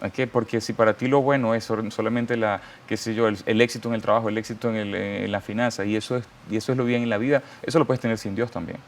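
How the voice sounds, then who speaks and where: Fast-talking fervent Venezuelan man. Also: lowish voice, steady tone. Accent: Venezuelan Spanish. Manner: fervent, preacherly, quotes scripture. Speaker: interview guest. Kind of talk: testimony interview.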